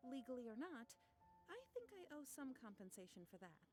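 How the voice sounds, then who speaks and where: A woman playing a gentle, courteous young businesswoman in a video game. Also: high voice, very animated, over music. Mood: polite, earnest. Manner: courteous, measured.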